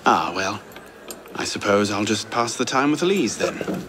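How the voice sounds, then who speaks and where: An actor playing a quick-witted, flippant young French rogue in a video game. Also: male voice, fairly low pitch, expressive, a bit bright, slight background noise. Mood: wry, jaunty. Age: young adult. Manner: flippant, sardonic.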